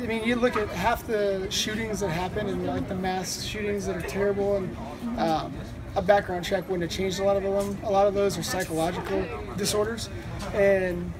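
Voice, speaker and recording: A person giving a casual, conversational interview answer, hedging and thinking aloud. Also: mid-range voice.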